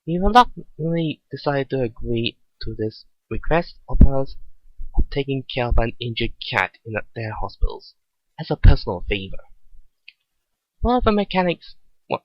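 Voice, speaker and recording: Halting man reading a story, muffled recording. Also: medium pitch, dry recording. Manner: halting, stumbles and rereads phrases. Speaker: amateur story reader. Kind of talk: dramatic reading of fan fiction. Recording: dull lo-fi recording.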